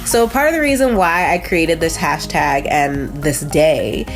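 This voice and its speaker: A woman's voice: low voice, measured pace, over music. An American woman with a nasal, congested voice, hosting a casual motivational vlog in a chatty, conversational way with frequent asides. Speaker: host of a motivational video channel.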